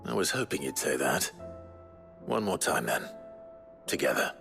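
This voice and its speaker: An actor playing a grave, resolute young warrior leader with a gravelly, measured voice in a video game cutscene. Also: male voice, very deep voice, sing-song, a bit bright, faint music behind, full character voice.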